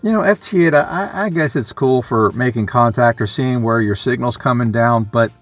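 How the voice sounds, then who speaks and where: A man's voice: medium pitch, normal speed, faint music behind. A man giving a casual, unscripted vlog talk as a hobbyist, rambling and matter-of-fact as he thinks aloud. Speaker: vlogger, amateur radio hobbyist.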